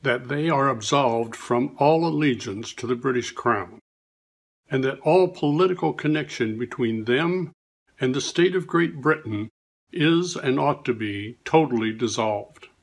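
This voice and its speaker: A man's voice: medium pitch, lively intonation, measured pace, vocal fry. A man reading a historical document aloud in a formal style. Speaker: volunteer reader.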